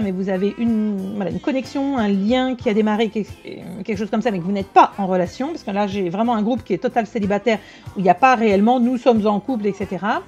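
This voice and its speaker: A woman's voice: fairly high pitch, darkish tone. Blunt, slangy woman medium. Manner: blunt, slangy, rapid asides to the audience. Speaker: tarot and psychic medium. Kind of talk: casual channeled reading to viewers. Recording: dull lo-fi sound with faint music.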